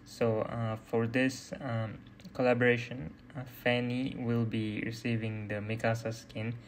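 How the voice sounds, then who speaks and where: A young man giving casual gameplay commentary in a hesitant, halting way. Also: medium pitch, little pitch movement, a little hiss or hum. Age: young adult. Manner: hesitant, halting delivery with trailing phrases. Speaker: gaming video creator. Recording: loud music and game audio behind. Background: loud music.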